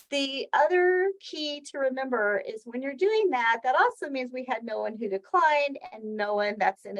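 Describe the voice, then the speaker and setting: A woman's voice: sits high, low-quality recording. A woman presenting an educational webinar, explaining slides step by step in a conversational way with examples. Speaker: presenter of a weekly healthcare training session.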